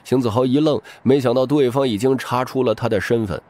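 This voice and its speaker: A man's voice: medium pitch, brisk, a little mellow. A man narrating a novel as a dramatic, scripted audiobook.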